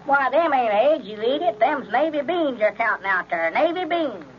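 Old Southern backwoods storekeeper, folksy grumbler, drawling, vintage radio comedy, dull sound. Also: male voice, extremely high pitch, lively intonation, normal speed. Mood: scolding. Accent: rural Southern US. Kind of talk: 1930s radio comedy acting. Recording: muffled, noisy old radio recording.

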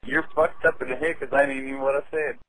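A man's voice: mid-range voice, flat delivery, quick pace, fairly bright tone. A foul-mouthed man making a crude prank phone call.

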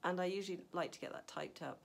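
A middle-aged woman with a low voice and a gravelly edge shares writing advice from her home study. She talks casually to the camera, thinking aloud with fillers and restarts. A faint hum sits under the recording. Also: quick pace. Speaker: novelist. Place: home study with a map on the wall.

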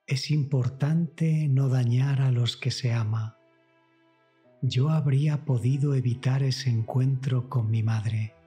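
Man, very slow pace, mellow dark tone, solemn reverent prayer reading, soft music behind. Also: medium pitch, fairly even intonation. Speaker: narrator of a guided prayer meditation. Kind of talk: devotional scripted reading, guided meditation.

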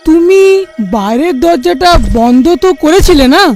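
A man's voice: extremely high pitch, animated, moderate pace, vocal fry, darkish tone, soft background music, full character voice. A man voicing a frightened young village husband in a cartoon, agitated and dramatic. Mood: worried. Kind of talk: cartoon voice acting.

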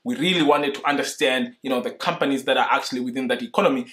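A man's voice: mid-range voice, fairly bright tone. Earnest young man. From Zimbabwe. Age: young adult. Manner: earnest, measured, explanatory. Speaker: investing YouTuber. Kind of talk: explainer talk to camera. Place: indoor room in front of a leather sofa.

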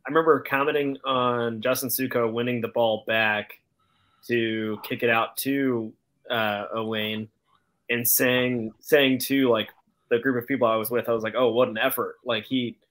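A man on a casual sports podcast call, recounting plays in a halting, appreciative way. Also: medium pitch, fairly even intonation, a bit bright. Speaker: podcast panelist. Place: indoor room, remote video call. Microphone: video call microphone.

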